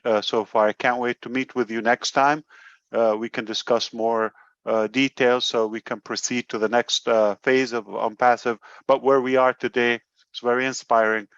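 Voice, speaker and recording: A man speaking informally as a company leader at an online meeting, halting as he searches for words. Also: medium pitch, little pitch movement, fairly bright tone, clear, resonant tone, dry recording, dull audio. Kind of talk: informal motivational address and chat.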